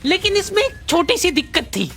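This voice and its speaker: Male storyteller, pitched-up high voice, sharp bright tone, exaggerated comic narration, faint music underneath. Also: very animated, brisk, vocal fry. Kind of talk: comic first-person cartoon narration.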